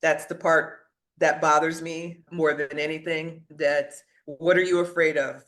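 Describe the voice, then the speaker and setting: Bright, emphatic man asking pointed questions. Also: a little high, flat delivery, a little slow. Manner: emphatic, rhetorical questions, laughs between points. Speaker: physician guest. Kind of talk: podcast discussion.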